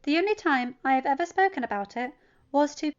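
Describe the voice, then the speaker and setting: Storybook role: earnest teenage boy, courteous, defiant, flat delivery, raised pitch, woman performing. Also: fairly quick, highs cut off. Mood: deadpan defiance. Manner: neutral, deadpan.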